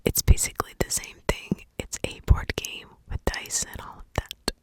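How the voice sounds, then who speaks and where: A woman telling a true crime story in a hushed ASMR whisper, pausing deliberately between short phrases. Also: dry recording.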